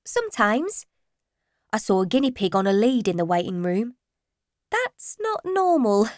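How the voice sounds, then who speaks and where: A woman's voice: a little high, sing-song, measured pace, dry recording. A woman voicing a dry, frank young female vet with a matter-of-fact manner in an audiobook. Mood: dry, teasing.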